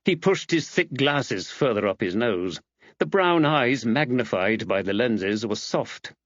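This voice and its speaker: A man's voice: mid-range voice, lively intonation, measured pace, no room sound, lo-fi sound. A man reading a mystery novel aloud as scripted audiobook narration.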